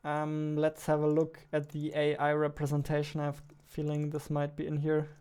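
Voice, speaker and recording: A man in his twenties talks casually, thinking aloud like a developer at his desk. His voice is fairly high for a man, clean and smooth. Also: monotone, normal speed, a little mellow. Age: 20s. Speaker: game developer, video host. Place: small indoor room at a computer.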